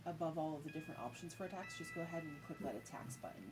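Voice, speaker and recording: A woman's voice: sits low, racing. A woman working as game master, narrating and making rulings in a casual conversational way over background music. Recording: music playing behind the voice.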